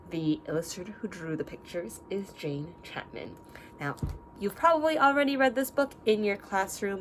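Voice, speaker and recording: A woman teacher reading a picture book aloud to young children, instructive and deliberate, stopping to put questions to them. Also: medium pitch, a little hiss or hum.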